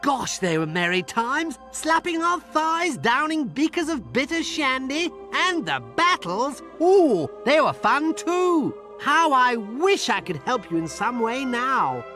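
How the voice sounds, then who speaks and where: An actor playing a gushing, deferential soldier hero in a video game, earnest and nostalgic. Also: male voice, very high voice, big pitch swings, slow, hardly any pauses, pushed and bright, faint music behind, exaggerated voice. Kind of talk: video game character acting.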